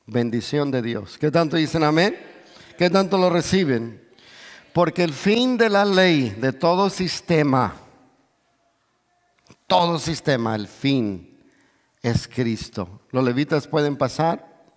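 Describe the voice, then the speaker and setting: A middle-aged man preaching in Spanish, with as his language, who peppers his sermon with hallelujahs, calls for amen, and swells toward near shouts. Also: on the high side, animated, slow pace, pauses often. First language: English. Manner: moves about and gestures on stage, voice swells to near shouts. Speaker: pastor. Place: large church stage. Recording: long reverb of a big church hall.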